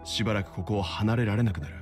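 An actor playing a calm, prudent middle-aged traveller in a game, polite, serious and reserved. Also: male voice, fairly low pitch, quick pace, music in the background, close to an everyday voice. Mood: calm, cautious. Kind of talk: scripted game character dialogue in Japanese dub.

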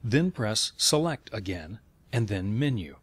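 A man reading scripted instructional narration in a measured, step-by-step way. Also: on the low side, very animated, breathy edge, no echo.

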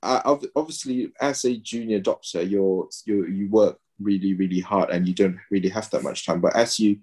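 Guest, 30s man from Malaysia, very deep voice, halting delivery, video call. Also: a little mellow, breathy edge. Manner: hesitant, many fillers and restarts. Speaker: guest, pediatrician and game player. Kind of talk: casual video-call interview. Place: home room, indoors.

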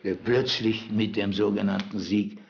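An older man talks in an interview in halting short phrases. His voice is gravelly and very slow, in a somewhat muffled recording with music behind. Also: on the low side, steady tone. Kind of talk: interview recollection.

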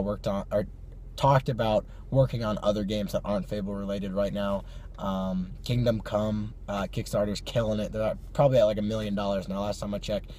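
A hoarse young man with a cold, chatting casually to camera from his parked car at night, with many fillers and small laughs. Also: on the low side, warm tone, a little hiss or hum. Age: young adult. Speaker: vlog host. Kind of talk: casual car vlog chat. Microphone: phone or camera on the dashboard.

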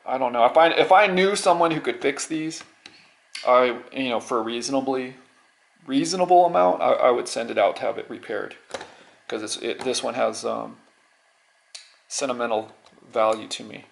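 Gravelly middle-aged man, halting and casual. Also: mid-range voice. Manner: halting, rambling, repeats words. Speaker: vlogger. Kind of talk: casual unscripted show-and-tell.

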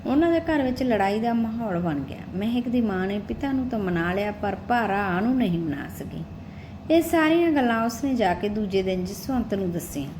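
A woman's voice: medium pitch, animated, normal speed. A woman narrating a scripted story as an audiobook-style storyteller. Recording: clearly noisy recording with background ambience.

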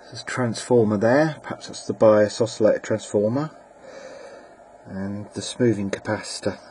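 A man giving a casual, plain-spoken and slightly halting hobbyist demonstration, presenting his own equipment. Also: on the low side, a little mellow. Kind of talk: casual hobbyist demo narration. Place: indoors at a workbench. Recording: clear background noise, amateur camera audio.

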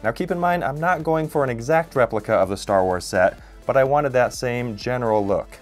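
A man's voice: mid-range voice, a little fast. A man in his thirties, host of a DIY channel, narrating his build in an upbeat, self-deprecating way. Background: faint background music.

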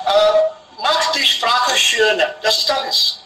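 Non-native professor, high bright voice, halting with restarts, heard from afar in an echoey room. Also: male voice, recorded from a distance, faint music behind. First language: an Indian language. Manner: hesitant, repeats and restarts words. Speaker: guest professor. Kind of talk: online discussion group conversation. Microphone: video call.

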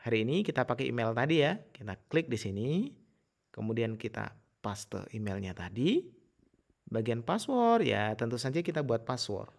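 A man's voice: mid-range voice, sing-song, normal speed, vocal fry, a little mellow. A man presenting a casual step-by-step tutorial in an instructional manner.

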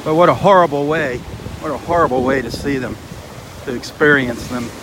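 A man's voice: mid-range voice, fairly quick. A man hosting a live walking video on a beach, talking in an earnest, dismayed way as he goes. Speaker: beach walk video host. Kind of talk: live walking vlog commentary. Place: outdoors on a windy beach by the surf. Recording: wind and surf noise on the mic. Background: crashing waves and wind.

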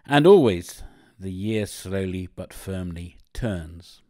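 Man, fairly low voice, reflective scripted narration, dry sound. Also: lively intonation, slow pace, mellow, dry recording.

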